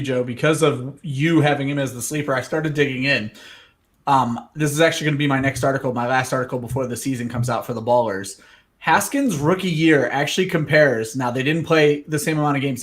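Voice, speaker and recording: A man's voice: mid-range voice, brisk. A man who co-hosts and writes about fantasy football, talking in an incredulous, talkative way during a casual podcast chat.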